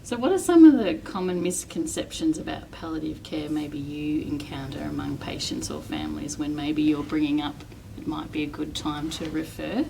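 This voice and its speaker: A woman's voice: low-pitched, normal speed, creaky, distant mic, background noise. A woman hosting an interview, asking questions and affirming her guest's answers with frequent backchannels. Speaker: interview host. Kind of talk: hosted interview.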